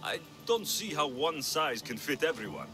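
A sardonic mercenary. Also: male voice, a little high, sing-song, moderate pace. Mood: dry. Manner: dry, skeptical wit. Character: dry, sardonic adult male mercenary hero. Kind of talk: video game voice acting. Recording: game music underneath.

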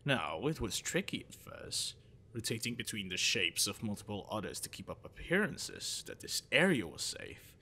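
Man acting a low, gravelly, possessive shapeshifter. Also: big pitch swings, bright, forceful tone, a little hiss or hum, heavily acted. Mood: taunting, menacing.

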